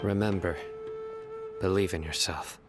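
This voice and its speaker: A man playing a stern, stoic general in an anime-style video game, speaking in a terse, solemn, measured way. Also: deep voice, fairly even intonation, music in the background. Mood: steady encouragement.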